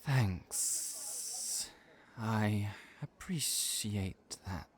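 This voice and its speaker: A man voicing a shy, gentle snake hybrid cafe worker in an audio roleplay, halting and hesitant, with drawn-out hissing s sounds. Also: lowish voice, sing-song, exaggerated voice. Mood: shyly pleased.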